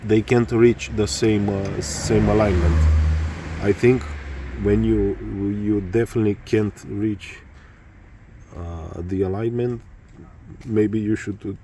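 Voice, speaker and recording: Romanian guy explaining bike repair in english, really slow, lots of uhs, bit of motor noise behind. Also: on the low side, fairly even intonation, mellow. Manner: halting, many fillers, searching for words. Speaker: motorcycle tutorial maker. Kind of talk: hesitant spoken tutorial.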